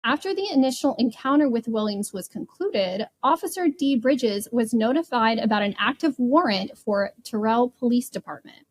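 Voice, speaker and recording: A woman's voice: a little high, expressive, moderate pace, hardly any pauses. A woman co-hosting a podcast, telling true crime stories casually with a wry, giggly manner. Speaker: podcast co-host.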